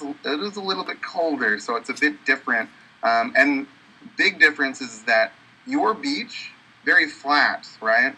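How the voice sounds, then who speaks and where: A man's voice: medium pitch, pushed and bright, a little hiss or hum, rough recording. A man representing a university, talking eagerly with many fillers over a video call from an indoor office. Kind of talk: enthusiastic promotional talk. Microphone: webcam on video call.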